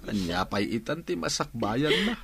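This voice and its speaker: A man's voice: medium pitch, sing-song, normal speed, creaky, a bit bright, slightly rough, heavily acted. A man playing a worried, brooding father in a melodramatic radio drama, fretful and emphatic.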